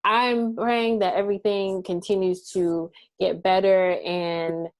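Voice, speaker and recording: Woman, thirties, mid pitch, casual podcast host, conversational questions, many fillers. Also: little pitch movement, slow pace. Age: 30s. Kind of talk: casual interview chat.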